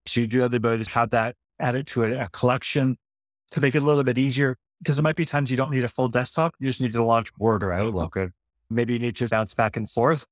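A man presenting a casual software walkthrough, explaining settings step by step and correcting himself as he goes. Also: medium pitch, no echo, studio quality. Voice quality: self-correcting, conversational. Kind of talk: screen-recorded software demo walkthrough.

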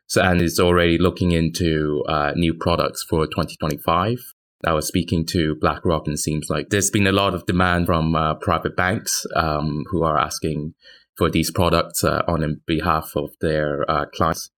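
A man with a deep, low-set voice speaks as a financial journalist in a podcast discussion. His delivery is conversational and matter-of-fact, advancing a little haltingly. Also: little pitch movement, a bit bright.

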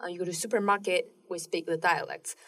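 A woman from Sichuan whose first language is Mandarin Chinese, speaking English with a Mandarin accent as an interview guest, relaxed and sharing personal experience. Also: on the low side, fast. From Sichuan, China. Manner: relaxed, recounting experiences with fillers. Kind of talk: casual personal storytelling. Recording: distant mic in a room.

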